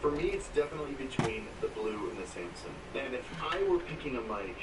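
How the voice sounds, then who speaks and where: A man with a deep voice casually reviewing microphones for his stream viewers, candid and relaxed. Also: a little fast. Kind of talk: casual product review to camera. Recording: distant mic with loud background noise.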